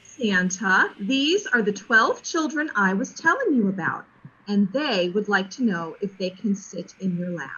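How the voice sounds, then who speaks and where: A woman's voice: medium pitch, a little slow, breathy edge, highs cut off, heavily acted. An actress playing an eager, imploring woman who minds a group of children in an audio drama. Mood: cheerful. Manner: imploring, animated.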